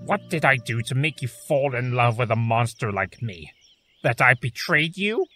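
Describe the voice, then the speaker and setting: A man's voice: medium pitch, expressive, normal speed, bright, forceful tone, soft background music. Man voicing a bitter, wildly swooping chaos creature. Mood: anguished, bitter. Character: bitter, self-loathing male chaos creature, theatrical and volatile. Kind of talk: fan audio drama acting.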